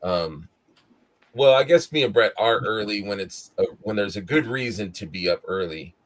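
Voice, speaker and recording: Male live-show host, low-ish voice, hoarse and scratchy, loose rambling delivery. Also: lively intonation, moderate pace. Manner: rambling, tired but upbeat. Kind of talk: casual live-stream chat.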